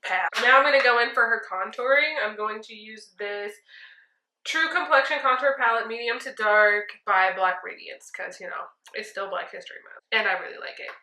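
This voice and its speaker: A woman's voice: on the high side, moderate pace. A young woman in her twenties doing a friend's makeup while chatting casually and telling jokey stories at home. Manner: chatty, jokey, animated storytelling. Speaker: makeup artist host. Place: small indoor room at home. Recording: echoey room.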